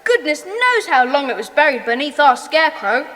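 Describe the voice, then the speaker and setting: An actress playing a decisive young boy who leads his gang in a children's audio drama, brisk and commanding. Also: high voice, big pitch swings, a bit bright. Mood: urgent. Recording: long hall-like reverb.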